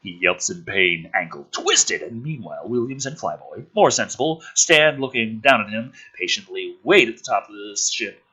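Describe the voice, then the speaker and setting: A male host with a bright, forceful voice that swings widely in pitch. He has a rough, husky edge and delivers sarcastic, profane commentary, shifting between off-the-cuff ranting and theatrical script reading. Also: expressive, moderate pace, hardly any pauses, rough recording. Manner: sweary, sarcastic, informal.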